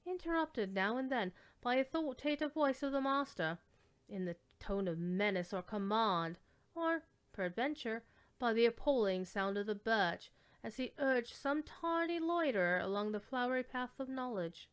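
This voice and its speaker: A woman giving a measured, theatrical dramatic reading of classic literary fiction, lingering on descriptive words. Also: on the high side, lively intonation, smooth. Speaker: storyteller reading aloud.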